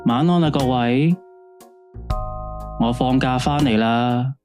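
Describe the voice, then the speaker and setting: An actor as a late-night radio host man with a Hong Kong Cantonese accent, chatting at an unhurried pace. He is easygoing, playful and inviting, with music under his voice. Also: mid-range voice, natural voice. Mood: cheerful, chatty. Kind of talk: late-night radio phone-in hosting, radio drama.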